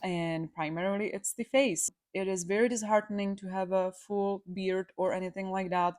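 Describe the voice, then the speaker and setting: A woman's voice: mid-range voice, fairly even intonation, normal speed, darkish tone. A young woman from the Czech Republic with a Czech accent, an electrologist telling her personal story in a chatty, candid vlog. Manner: chatty, candid, with fillers and restarts.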